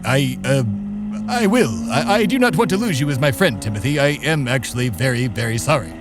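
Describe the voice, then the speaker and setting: A man's voice: mid-range voice, expressive, brisk, over music. A man hosting and starring in a comedy audio drama, talking in a formal, pompous way. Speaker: host, lead character.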